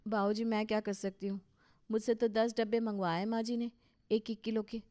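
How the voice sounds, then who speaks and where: A woman's voice: a little high, little pitch movement, normal speed, warm tone, no room sound, clean studio sound, close to an everyday voice. A woman playing a young, anxious, respectful daughter-in-law in a cartoon story, earnest and questioning. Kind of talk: Hindi cartoon story dialogue.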